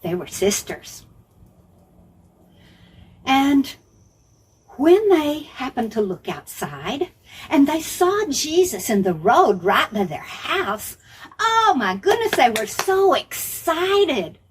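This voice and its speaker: A woman's voice: high voice, very animated, slow, pauses often. A woman telling Bible stories to young children in simple phrases, repeating words for emphasis and putting questions to listeners. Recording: faint background noise.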